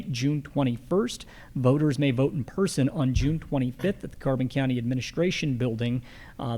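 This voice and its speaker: A man's voice: mid-range voice, a little mellow. An American man moderating a candidate forum, putting questions to candidates and giving announcements.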